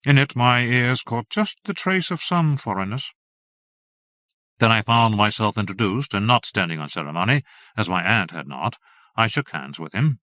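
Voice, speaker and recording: A man narrating a period horror story in a measured, formal manner. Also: on the low side, sing-song, pushed and bright, no room sound. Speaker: audiobook narrator.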